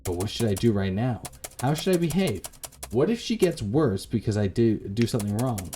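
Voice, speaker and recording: A man's voice: on the low side, big pitch swings, moderate pace, dark tone, slight background noise. A man voicing a worried, pleading grown daughter. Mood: anxious, tired. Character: worried grown daughter caring for her failing mother.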